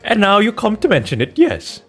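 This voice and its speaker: A man voicing a quick-tempered, pompous married woman in a courtroom game, huffy and easily flustered. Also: high voice, wildly swooping pitch, a bit bright, breathy edge, faint music behind, voice pushed up, exaggerated voice. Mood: indignant. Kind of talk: dramatic video game character voicing.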